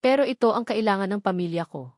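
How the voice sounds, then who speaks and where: A woman's voice: mid-range voice, fast, darkish tone, dry recording, studio quality, natural voice. A woman narrator voicing a dutiful, troubled young provincial daughter in an audio drama, hesitant and respectful. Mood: anguished.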